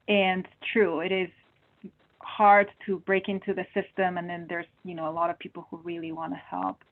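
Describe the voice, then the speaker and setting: A woman speaks with a fairly low, gravelly voice. She answers webinar questions earnestly and thinks aloud as she goes, doubling back on her words. The sound is thin and narrow, like a video call heard over a phone line. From Peru. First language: Spanish. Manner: earnest, thinking aloud with many repeated starts. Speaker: medical oncologist, panelist.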